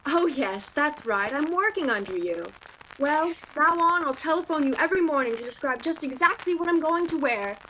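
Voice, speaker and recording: Woman acting a high, bright, indignant young shopgirl. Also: animated, normal speed, a little hiss or hum, low-quality recording. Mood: sarcastic, defiant. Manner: indignant, sarcastic, emphatic. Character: proud, sharp-tongued, defiant young female shop clerk. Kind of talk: virtual radio play acting.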